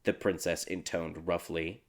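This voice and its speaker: A man narrating a dark fairy tale audiobook in a dramatic, scripted storytelling style. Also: sits low, flat delivery, unhurried. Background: background music.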